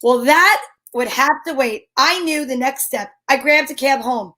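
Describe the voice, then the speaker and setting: A wisecracking female private eye, played by a woman tells her case in old-time radio fashion. Her voice is high, her delivery wry and self-assured with deadpan quips. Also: unhurried, exaggerated voice. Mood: dry, storytelling.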